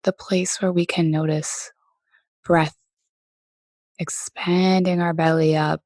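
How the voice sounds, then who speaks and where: A woman leading a guided meditation as teacher, speaking gently in a soft voice with many pauses. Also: fairly low pitch, level tone, no echo. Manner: gentle, unhurried, pausing often between phrases.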